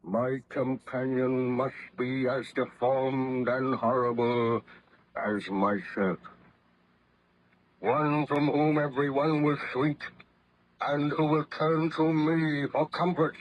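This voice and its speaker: An actor playing a vengeful, lonely man-made monster in old radio horror, speaking slowly and grandly with menace. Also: medium pitch, steady tone. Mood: bitter pleading. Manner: slow, grandiose, menacing delivery. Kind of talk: 1930s radio horror acting. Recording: muffled, noisy old radio recording.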